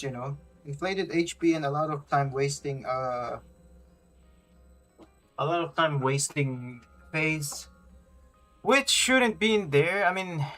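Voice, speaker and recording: A man with a slightly high voice streams a game and rambles along casually. He talks in slang, thinking out loud, with faint music behind him. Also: poor audio. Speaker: game livestreamer. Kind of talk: casual gaming stream chat.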